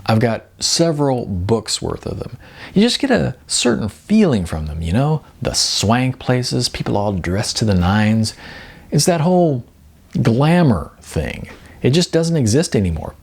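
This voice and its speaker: A man's voice: medium pitch, sing-song, measured pace, vocal fry, darkish tone, breathy edge, heavily acted. A man voicing a contented, wistful, overweight male model in an audiobook, chatting casually.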